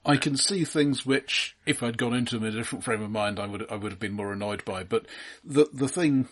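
A man co-hosting a casual film discussion, speaking in a measured, analytical way with halting phrasing. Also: medium pitch. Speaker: film podcast co-host.

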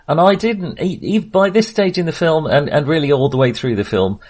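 A man's voice: a little high, moderate pace, a little mellow. A man co-hosting a film podcast, discussing in a casual, rambling style and often correcting himself as he goes.

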